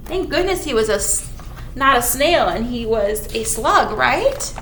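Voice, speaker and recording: High-pitched, animated children's storytime woman. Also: unhurried. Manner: playful, exaggerated, talks to small children. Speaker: children's librarian leading storytime. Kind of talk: animated storytime read-aloud with asides to young children. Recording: roomy echo with background noise.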